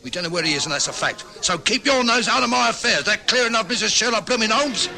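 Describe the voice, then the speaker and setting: An actor playing a hostile, defensive husband in a radio drama, sneering and blustering. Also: male voice, high-pitched, expressive, fast talker, vocal fry, over loud music. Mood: angry. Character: belligerent pub-drinking husband, surly and defensive. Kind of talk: 1960s radio drama acting.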